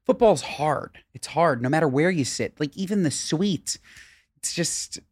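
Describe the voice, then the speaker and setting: A man co-hosting a podcast in casual chat, playfully dramatic and animated. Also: a little high, a little slow, breathy edge, no room sound. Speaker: podcast co-host.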